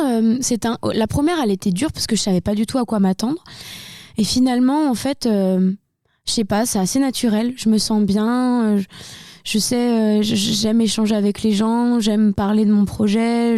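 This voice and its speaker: A woman's voice: on the high side, fairly even intonation, moderate pace, mellow, no room sound, clean studio sound. Candid young woman with a slight Burgundy accent. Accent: slight Burgundy French. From Burgundy, France. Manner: candid, talkative, many fillers and restarts. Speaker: singer, interview guest. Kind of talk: casual interview chat.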